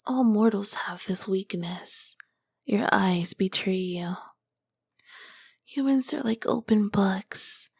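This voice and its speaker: A woman voicing an ancient, seductive succubus queen who speaks in a slow, breathy, teasing whisper. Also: mid-range voice, a little mellow, no room sound, clean studio sound, heavily acted. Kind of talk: whispered audio roleplay.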